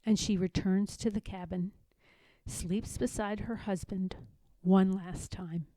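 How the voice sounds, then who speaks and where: A woman reading a children's picture book aloud in a measured, dramatic narrating style. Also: mid-range voice, fairly even intonation, mellow, dry recording, low-quality recording. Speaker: storybook reader.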